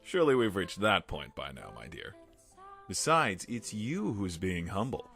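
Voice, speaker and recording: A man playing a suave, smooth-talking seducer with a cruel streak in an audio drama. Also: low-pitched, big pitch swings, measured pace, a bit bright, soft background music, exaggerated voice. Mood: playful, flattering. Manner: smooth-talking, affectionate endearments. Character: suave, charming, secretly cruel male seducer.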